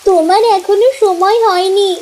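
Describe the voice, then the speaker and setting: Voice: very high voice, steady tone, moderate pace, a little mellow, a little hiss or hum. A performer voicing a small talking magic fish in a fairy-tale cartoon, pleading and insistent in a childlike, coaxing way. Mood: gentle, insistent.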